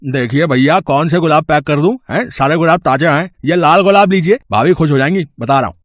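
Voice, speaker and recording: A man playing an eager, friendly flower-stall seller in an animated moral story, chatty like a salesman. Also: a little high, fairly quick, no room sound, clean studio sound, lightly acted.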